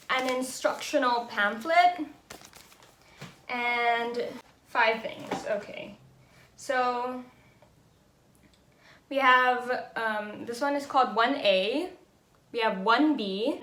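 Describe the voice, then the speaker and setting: A woman's voice: high-pitched, a bit bright, reverberant, slight background noise. A young woman from the Philippines, a native speaker of Tagalog and English, chatting casually and thinking aloud as she vlogs at home, with frequent little laughs. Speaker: beauty vlogger. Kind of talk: casual vlog narration.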